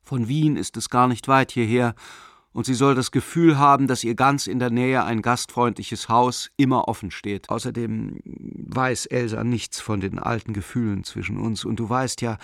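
Radio play: sentimental art dealer in his forties, gravelly, close and dry, confiding letter to a friend. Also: medium pitch, steady tone, moderate pace, a bit bright, dry recording. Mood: warm, urging. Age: 40s. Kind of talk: radio drama letter reading.

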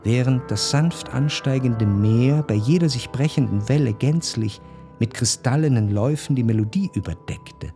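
An actor playing a nostalgic gentleman narrator in a literary radio drama, recalling his past in contemplative, lyrical, measured phrases. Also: medium pitch, lightly acted. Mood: wistful, contemplative. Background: faint instrumental music.

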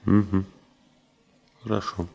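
A man's voice: deep voice, flat delivery, takes it slowly, creaky, a little mellow, husky, muffled recording, heavily acted. A man playing a middle-aged engineer father in a radio drama, tender and wry, speaking in short, wistful, joking phrases. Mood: subdued. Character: ailing engineer father, tender, wry, hiding his worry. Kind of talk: Soviet radio drama acting.

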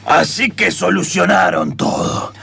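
A man's voice: high voice, a little slow, vocal fry, bright, forceful tone, slightly rough, full character voice. A man playing an authoritative, stingy boss in an improvised comic radio play. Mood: grudgingly impressed. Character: male boss, grudgingly impressed and stingy. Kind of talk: improvised comedic radio theatre acting.

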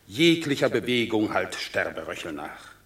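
An actor playing a solemn Roman orator, declaiming verse in a classic radio drama. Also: male voice, on the low side, very animated, moderate pace, fairly bright tone, reverberant. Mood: solemn praise. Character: solemn male Roman orator praising a hero. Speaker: Roman consul reporting a battle.

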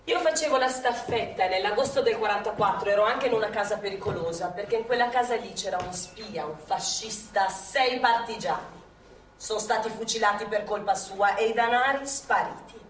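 A woman's voice: mid-range voice, a little fast, natural voice. An actress playing a bossy, teasing older woman who was a partisan courier, speaking colloquial theatrical Italian with a Milanese accent. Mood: bitter storytelling. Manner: chivvying, sing-song repetition, sarcastic. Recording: echoey stage, distant mic, faint noise.

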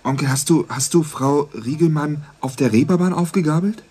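An actor playing a bemused young police dispatcher in a radio crime drama, halting and dryly questioning. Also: male voice, fairly high pitch, mellow, lightly acted. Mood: hesitant, curious. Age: young adult.

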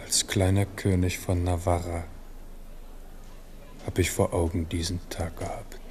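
A man playing a reflective king, speaking in an intimate, weighty monologue with pauses for a radio drama. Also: sits low, flat delivery, a little mellow, a little hiss or hum. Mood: solemn, wistful. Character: ageing, reflective King Henri of France. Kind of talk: 1970s German radio drama acting.

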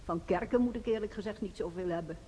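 A woman's voice: mid-range voice, fast, warm tone, natural voice. An actress playing a sensible, independent woman neighbour in a radio play, speaking in a blunt, down-to-earth way. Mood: frank, calm. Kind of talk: naturalistic radio drama dialogue. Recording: reverberant radio play voice, faint noise.

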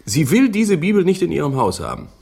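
Gentleman doctor of radio drama, pedantic, dry, disapproving, raspy voice, lively pitch movement. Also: a little fast, full character voice.

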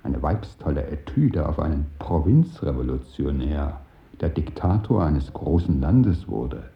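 An actor in a German radio play voicing a contemptuous, menacing denouncer who speaks with deliberate scorn. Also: male voice, very deep voice, sing-song, echoey room, dull audio, full character voice. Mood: scornful.

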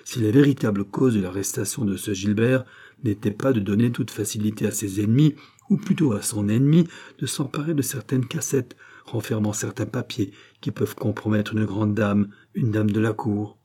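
A man with a husky voice reading the part of an intense male doctor who forces his will on a countess, declaiming with rising fervour. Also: lowish voice, moderate pace, warm tone. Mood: pleading. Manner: commanding, rising to fervent declamation.

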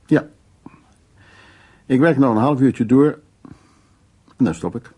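An actor playing a worldly, lonely, insistent suitor in a naturalistic radio drama, musing and teasing in a husky, gravelly voice. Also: male voice, medium pitch, animated, slow, warm tone. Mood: teasing, persuasive. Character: worldly, insistent, lonely man courting a younger woman.

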